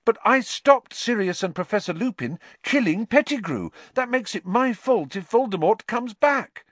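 Troubled schoolboy, played by a male narrator: high, earnest, agitated, animated audiobook delivery. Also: normal speed, no room sound. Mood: distressed, protesting. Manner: agitated, insistent, hesitant in places. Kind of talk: audiobook character voice.

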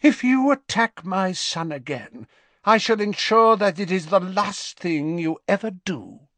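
A man's voice: sits high, expressive, fairly bright tone, no room sound, voice pushed up. A man voicing an icy, haughty noblewoman. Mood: cold. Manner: slow, icy and menacing. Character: cold, haughty aristocratic mother.

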